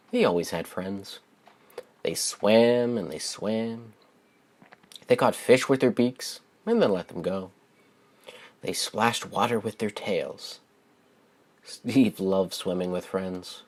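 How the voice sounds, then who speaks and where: A man reading a children's story aloud as its author, with simple, deliberate phrasing and expressive stresses. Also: lowish voice.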